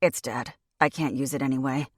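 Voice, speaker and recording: A woman's voice: rumbling low, steady tone, brisk, dry recording, clean studio sound. A woman voicing a defiant young woman held captive, blunt and scornful. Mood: sullen.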